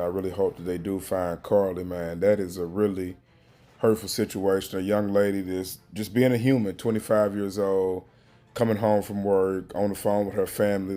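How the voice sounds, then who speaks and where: A man's voice: sits low, fairly even intonation, normal speed, mellow. A man giving informal, earnest news commentary as a host, speaking emphatically and repeating words to drive his point home.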